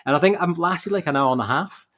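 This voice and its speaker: A man's voice: on the high side, fast talker, fairly bright tone. A man chatting casually and anecdotally as a podcast co-host.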